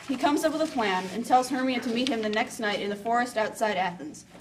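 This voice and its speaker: Female narrator for a scripted tale with playful asides. Slightly higher voice, a bit off-mic, faint noise. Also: a little fast, recorded from a distance.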